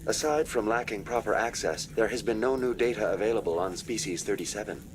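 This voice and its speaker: A man's voice: on the low side, noisy background, close to an everyday voice. Man voicing a formal, impassive computer assistant. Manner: formal, measured, detached. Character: polite, impassive male virtual assistant, unfailingly procedural. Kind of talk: video game voice acting.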